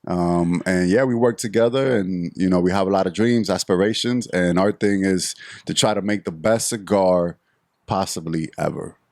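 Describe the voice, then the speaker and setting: A man's voice: low-pitched, moderate pace, no room sound, studio quality. A man from the Dominican Republic, a cigar shop owner, speaking as a guest in an earnest, patient way and explaining things carefully. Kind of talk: casual interview chat.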